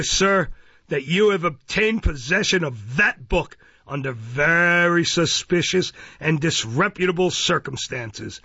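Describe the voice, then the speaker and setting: Man reading as irascible magistrate, barking and gravelly. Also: a little high, sharp tone, dry recording, highs cut off, voice pushed up, full character voice. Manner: barking, peremptory, contemptuous. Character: irascible, pompous, bullying male police magistrate. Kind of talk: dramatised audiobook reading of a classic novel.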